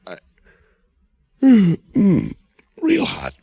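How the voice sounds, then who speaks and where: A man's voice: sits high, wildly swooping pitch, drawn-out words, gravelly, warm tone, slightly rough, no echo, heavily acted. An American man playing a wisecracking, hard-boiled private detective, acting and narrating in a dry, sardonic way in an old-time radio drama. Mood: groggy, drugged. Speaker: lead actor and narrator. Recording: vintage radio broadcast with background music.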